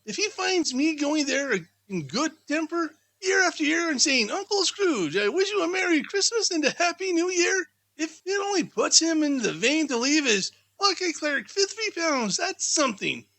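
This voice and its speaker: A man voicing a cheerful, good-natured young Victorian gentleman in a chatty, animated way for a storybook. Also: very high voice, moderate pace, hardly any pauses, pitched above the performer's own voice, heavily acted. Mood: cheerful, pitying.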